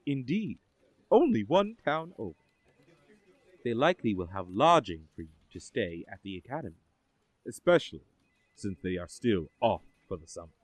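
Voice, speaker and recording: Composed agency boss for a superhero audio drama, male actor: low, expressive, formal and assured. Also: moderate pace, long pauses, dry recording. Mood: composed, helpful. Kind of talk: scripted audio drama acting.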